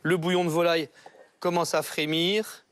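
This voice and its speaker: A man's voice: fairly high pitch, level tone, normal speed. A man demonstrating a recipe as a chef, giving step-by-step instructions and checking he is understood. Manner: instructive, checking in with short tags. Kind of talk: cooking demonstration. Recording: music behind the voice. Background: background music.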